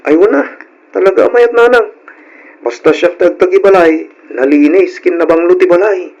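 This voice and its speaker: A man's voice: high voice, moderate pace, a little mellow. A man playing an eager, agreeable young husband in an old-style radio drama. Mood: agreeable, coaxing. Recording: dull, lo-fi old radio recording.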